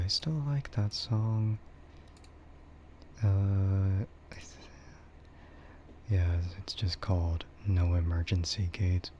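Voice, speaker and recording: A man with a dark, mellow voice rambles softly in a casual ASMR stream. He speaks slowly and hesitantly, drifting from thought to thought. The microphone sounds dull, with a faint layer of noise. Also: sits low. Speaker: VTuber streamer.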